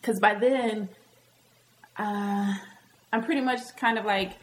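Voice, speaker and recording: A woman's voice: medium pitch, fairly even intonation, slow, low-quality recording. A woman from Nashville with a blended American Southern accent, chatting casually and frankly, often acting out dialogue. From Nashville, Tennessee, US. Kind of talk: casual candid chat.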